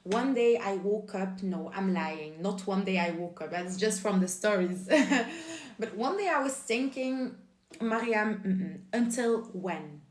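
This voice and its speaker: A woman's voice: medium pitch, lively intonation, a little slow, darkish tone, reverberant. A young woman from Belgium whose first language is Dutch talks in English in a casual, giggly, apologetic chat to camera. She records on her phone. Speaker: vlogger.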